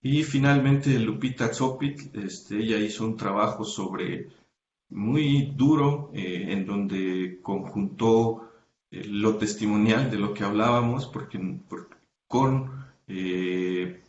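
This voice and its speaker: A man being interviewed as a researcher, explaining his academic work carefully, pausing often with "eh" and correcting himself mid-sentence. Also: fairly low pitch, darkish tone, echoey room, muffled recording, slight background noise. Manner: thoughtful, many hesitations and self-corrections. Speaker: guest researcher.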